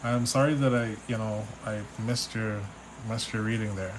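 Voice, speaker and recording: A man from Trinidad with a Trinidadian accent, a spiritual reader on a live stream, relaxed and chatty as he reads out viewers' questions. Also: fairly low pitch, normal speed, heavy background noise. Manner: relaxed, chatty, reads names and questions aloud, restarts often. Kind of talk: live stream reading, answering chat questions.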